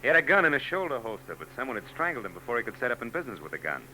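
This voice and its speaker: A man's voice: medium pitch, sing-song, rapid-fire, sharp tone, low-quality recording. An actor playing a hard-boiled male private eye who narrates and trades wisecracks, in a 1940s radio drama. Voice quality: dry, wisecracking. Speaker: narrator and lead. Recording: old radio broadcast tape with faint noise.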